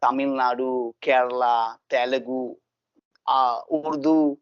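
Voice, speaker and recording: A man's voice: medium pitch, fairly even intonation, takes it slowly, clean tone, dry recording. Earnest Indian-accented man, muffled dry audio. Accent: Indian English. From Gujarat, India. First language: Gujarati. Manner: earnest, repeats phrases for emphasis. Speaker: host, English learner. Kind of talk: casual conversation.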